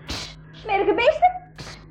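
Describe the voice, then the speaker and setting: A woman playing an anxious, spirited young girl in a 1980s school radio drama, speaking excitably. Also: very high-pitched, measured pace, faint music behind, full character voice. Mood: indignant, alarmed. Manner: excitable, exclamatory. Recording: slightly muffled 1980s radio recording.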